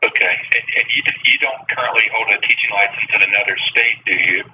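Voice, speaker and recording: A man speaking as a human resources official on a phone call, halting and careful, with polite phrasing. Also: on the low side, bright, forceful tone. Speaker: school human resources director. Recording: distant, dull, noisy phone line.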